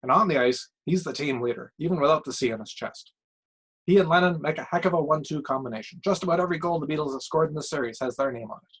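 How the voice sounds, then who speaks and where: A man's voice: on the high side, fast talker, a bit bright, slightly rough, poor audio. Ex-coach turned hockey pundit, played by a male story reader: blustery, opinionated, emphatic, punchy slangy phrasing. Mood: opinionated, admiring. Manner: ranting, emphatic, slangy short sentences. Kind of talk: acted sports commentary in a short story reading.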